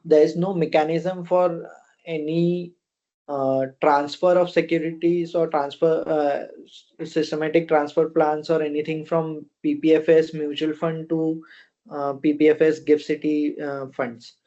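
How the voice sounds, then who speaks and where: A man with an Indian accent giving unscripted answers as a company officer on a conference call, phrasing things haltingly. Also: a little high, flat delivery, dark tone. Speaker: fund company officer answering investor questions. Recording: dull, lo-fi conference call audio.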